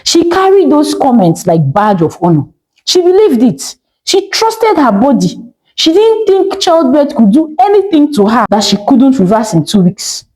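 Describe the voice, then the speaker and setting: Animated Nigerian woman telling a story. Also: high voice, normal speed, low-quality recording. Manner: theatrical, with emphatic short phrases and rhetorical questions. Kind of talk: scripted dramatic story narration.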